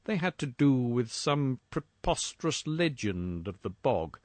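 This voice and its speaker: A man reading a first-person horror story in a measured, formal, dramatic storytelling manner. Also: medium pitch, no room sound, clean studio sound.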